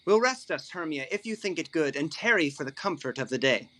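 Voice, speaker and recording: Courtly, ardent young man for a male actor: higher-pitched, bright, forward tone, classical verse. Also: expressive, brisk. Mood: tender, apologetic. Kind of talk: classical Shakespearean verse acting.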